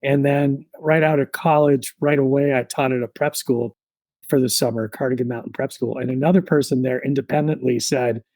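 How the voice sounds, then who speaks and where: Mellow-voiced man telling a story. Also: mid-range voice, a little fast, no room sound, rough recording. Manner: relaxed, reflective, rambling with many fillers. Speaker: podcast guest. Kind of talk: storytelling in a podcast interview.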